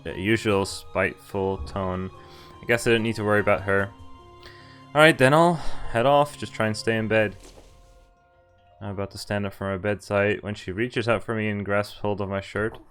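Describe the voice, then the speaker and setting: Male streamer, slightly low register, casual read-aloud delivery with self-corrections and chat asides, soft music underneath. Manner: stumbles over read lines and corrects himself. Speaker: streamer reading a visual novel aloud. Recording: music playing behind the voice. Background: background music from the game.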